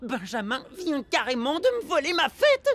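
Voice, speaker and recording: A woman playing an excitable, good-natured pre-teen amoeba boy in a cartoon, with an expressive French delivery. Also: sits high, normal speed, slight background noise. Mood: annoyed. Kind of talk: animated cartoon dialogue. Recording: loud music behind the voice.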